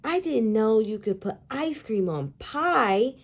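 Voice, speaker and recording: A woman's voice: on the high side, expressive, slow, voice pushed up, full character voice. A woman voicing a picky, hesitant young girl in a children's storybook, sounding wondering and uncertain. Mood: surprised. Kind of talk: storybook character voice.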